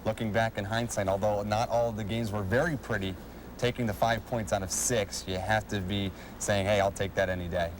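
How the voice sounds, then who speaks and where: A man's voice: on the low side, fairly even intonation, fairly quick, noisy background. A man hosting a sports show, speaking in a polished broadcast style as he presents and interviews. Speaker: TV sports show host and play-by-play announcer. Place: arena civic center.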